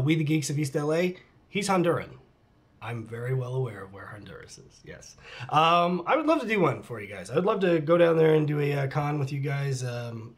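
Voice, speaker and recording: Chatty middle-aged man reading fan comments. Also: on the high side. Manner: chatty, laughs often, drops into muttered asides. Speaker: channel host answering fan questions. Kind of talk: casual Q&A vlog, reading comments aloud. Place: at home with his cat.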